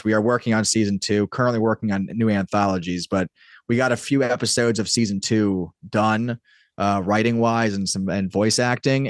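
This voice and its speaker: A man with a fairly low voice talks casually as an interview guest. He explains at length, rambling and cutting into his own sentences. The sound is very dry, with no room around it. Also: no echo. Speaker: podcast guest, audio drama creator and writer.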